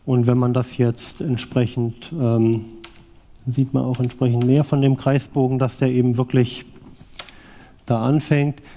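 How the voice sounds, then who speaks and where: A man's voice: mid-range voice, flat delivery, measured pace, dark tone. A German man in his forties, from southwest Thuringia, giving a loose technical talk on a vintage graphics device with many fillers and restarts, then answering audience questions. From southwest Thuringia, East Germany. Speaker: conference speaker presenting a vintage graphics device.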